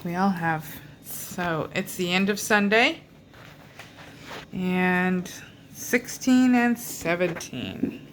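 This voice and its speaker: Woman in relaxed family conversation, unhurried delivery, bright tone, faint noise behind. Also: on the low side, low-quality recording. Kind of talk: casual family chat.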